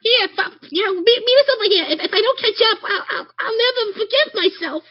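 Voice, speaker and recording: Tabletop RPG character: anxious, halting small boy, squeaky high, slightly dull sound. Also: expressive. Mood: anxious, pleading. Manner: stammering, halting. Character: anxious, earnest little boy, youngest of the brothers.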